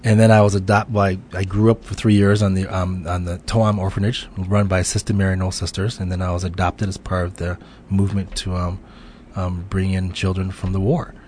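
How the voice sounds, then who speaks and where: A man's voice: low-pitched, flat delivery, fairly quick, a little mellow, a little hiss or hum. A middle-aged man, a radio guest, speaking thoughtfully with frequent hesitations. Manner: thoughtful, hesitant, many ums. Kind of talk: radio interview.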